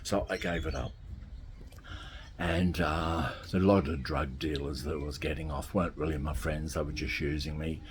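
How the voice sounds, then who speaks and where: A man's voice: a bass voice, fairly even intonation, moderate pace, background noise. A man, talking casually to camera from outdoors by a garden, rambling and repeating himself. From Australia. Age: 60s. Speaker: vlogger.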